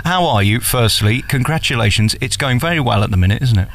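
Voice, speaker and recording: A man's voice: medium pitch, animated, quick pace, vocal fry, dry recording. An English man hosting a conversational celebrity interview, complimentary and effusive with his guest. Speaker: interviewer, radio host.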